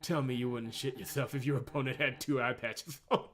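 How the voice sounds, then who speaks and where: A man's voice: medium pitch, fairly even intonation, a little fast, a bit bright, close to an everyday voice. Man voicing brash, cocky, teasing fighter woman. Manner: blunt, mocking, cocky. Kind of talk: visual novel character reading.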